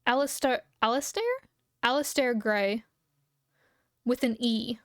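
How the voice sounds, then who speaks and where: A man's voice: extremely high pitch, fairly even intonation, a little slow, a bit bright, no room sound, clean studio sound, heavily acted. Woman reading as a terse, evasive man.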